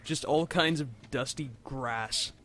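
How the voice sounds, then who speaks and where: A man's voice: mid-range voice, slow, fairly bright tone. A man chatting casually and drily as a jokey co-commentator on a video game. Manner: dry, jokey. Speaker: co-commentator on a let's play. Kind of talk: casual joking chat. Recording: faint noise behind voice. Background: game ambience.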